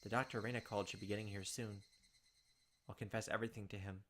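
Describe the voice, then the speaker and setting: A man reading a visual novel's text aloud as a let's play narrator, dramatic, with quick asides of his own. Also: fairly low pitch, level tone, a bit bright, slight background noise.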